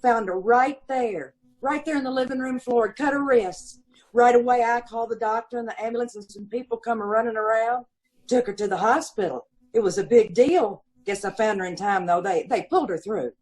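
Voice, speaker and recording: A woman's voice: on the high side, moderate pace, darkish tone, close to an everyday voice, poor audio. A woman playing a gossipy, aggrieved middle-aged landlady and mother of five, talking folksy and ungrammatical in old-time radio style. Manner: folksy ungrammatical talk, indignant and self-pitying. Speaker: witness in radio police drama.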